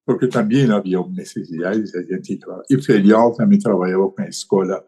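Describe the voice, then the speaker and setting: A man's voice: sits low, moderate pace, dark tone. An older man, a podcast guest who tells his story hesitantly, with many fillers and tag questions. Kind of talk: conversational storytelling.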